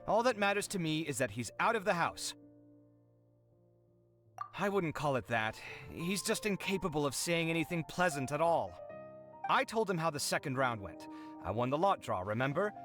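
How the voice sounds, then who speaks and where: An actor playing an expressive, irritable young man in a video game, with a defensive, touchy manner. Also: fairly high pitch, normal speed, faint music behind. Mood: exasperated. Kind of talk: video game cutscene acting.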